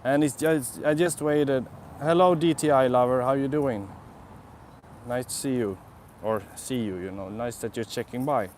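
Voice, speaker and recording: A man's voice: mid-range voice, moderate pace, darkish tone, a little hiss or hum. A young Swedish man with a Swedish accent, chatting casually on a live stream in a city park, musing and answering viewers' comments. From Sweden. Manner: rambling, reflective, answers chat comments. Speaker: vlogger.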